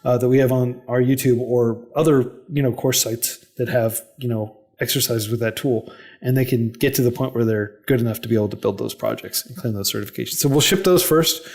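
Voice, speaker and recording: A man's voice: mid-range voice, brisk, darkish tone. A man, a native English speaker, talking as the guest in a casual podcast interview. He explains at length and peppers his speech with "like" and "you know". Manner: chatty, explanatory, lots of "like" and "you know" fillers. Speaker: interview guest, head of a coding non-profit.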